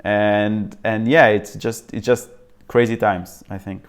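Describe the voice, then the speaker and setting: A man's voice: lowish voice, fairly even intonation, takes it slowly, clear, resonant tone. A man hosting an interview, speaking in a casual, enthusiastic, rambling way. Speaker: interview host.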